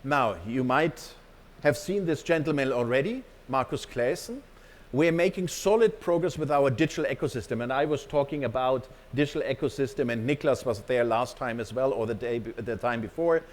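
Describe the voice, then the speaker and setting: A man's voice: mid-range voice, normal speed. Emphatic male corporate presenter. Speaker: company executive presenting to staff. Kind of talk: corporate presentation, partly off the cuff.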